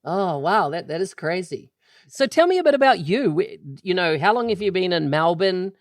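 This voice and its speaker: A man's voice: high-pitched, big pitch swings, dry recording, studio quality. Friendly male podcast host asking questions. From New Zealand. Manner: friendly, quick questions with restarts and chuckles. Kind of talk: conversational podcast interview.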